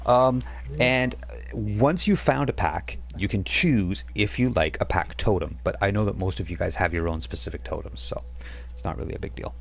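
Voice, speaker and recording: A man's voice: fairly low pitch, normal speed, vocal fry, a little hiss or hum. A man running a tabletop role-playing game on a live stream, rambling with frequent restarts as he narrates. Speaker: game master.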